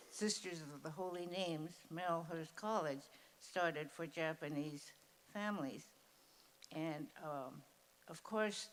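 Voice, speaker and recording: Older woman, eighties, slow halting recollection, lowish voice. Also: slight background noise, low-quality recording. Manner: slow, searching for words with many fillers. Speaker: Japanese internment survivor speaker. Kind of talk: personal storytelling at a school event. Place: school event hall.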